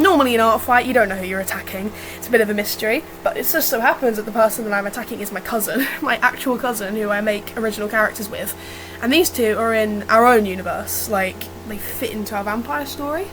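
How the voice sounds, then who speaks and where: A woman with a slightly rough, under-the-weather voice gives casual, unscripted commentary on her own artwork in a chatty, self-deprecating way. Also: on the high side, a bit bright, poor audio. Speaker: digital artist narrating own speedpaint. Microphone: built-in or improvised, no dedicated mic. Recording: fan noise, no proper mic. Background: whirring fan.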